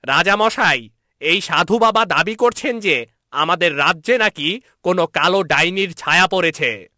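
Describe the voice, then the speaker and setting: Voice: medium pitch, expressive, unhurried, pushed and bright, no echo, clean studio sound, pitched above the performer's own voice. A man playing an anxious, deferential royal minister in a fairy-tale cartoon. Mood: respectful, eager.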